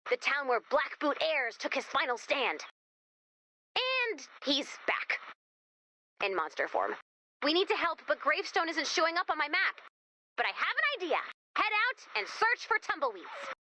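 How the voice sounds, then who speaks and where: A woman's voice: high-pitched, big pitch swings, measured pace, long pauses, bright, forceful tone, no echo, full character voice. A woman voicing a quirky, wisecracking young American guide character in a video game, with a chatty, theatrical manner. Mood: concerned, earnest, then playful encouragement. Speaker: mission guide character addressing the player commander.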